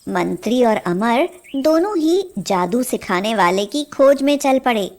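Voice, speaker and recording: Woman reading a kids fairy tale, very high voice, slow and measured. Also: animated. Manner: measured storytelling. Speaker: storyteller. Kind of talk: scripted Hindi fairy tale narration.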